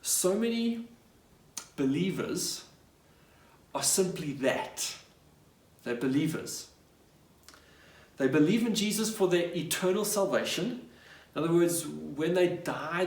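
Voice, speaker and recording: A man preaching a sermon as a pastor, retelling scripture in a conversational way with acted-out dialogue and rhetorical questions. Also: a little high. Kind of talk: sermon, retelling a Bible story. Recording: echoey room, distant microphone.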